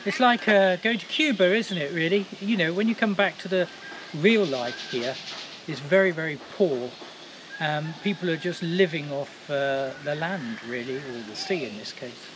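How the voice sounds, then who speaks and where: A man's voice: a little high, normal speed. A man, chatting enthusiastically on camera outdoors as a travel vlogger. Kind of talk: casual travel vlog chat. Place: outdoors on a tropical island street. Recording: loud outdoor background noise.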